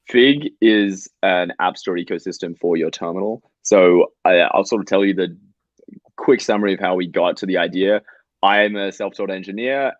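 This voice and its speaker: Aussie guy in his 20s on a video call, really low voice, kinda dull dry audio. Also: no echo. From Australia. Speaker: startup co-founder guest. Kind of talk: explaining software on a video call. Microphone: video call microphone.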